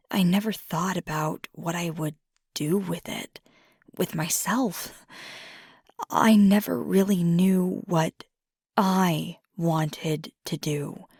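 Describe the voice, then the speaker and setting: A woman playing a young, earnest werewolf girlfriend in an intimate ASMR roleplay, soft-spoken and hesitant, with a husky, breathy voice. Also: fairly low pitch, fairly even intonation, takes it slowly, no echo, clean studio sound, full character voice. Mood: hesitant, confiding. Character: fiery young female werewolf girlfriend, earnest, ambitious, vulnerable.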